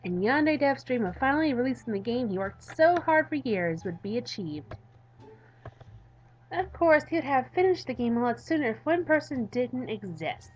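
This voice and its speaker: A woman's voice: a little high, big pitch swings, normal speed, dull audio. A woman with a cold giving theatrical, playful let's play commentary as she reads a visual novel aloud. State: has a cold, coughing. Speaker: gaming video host.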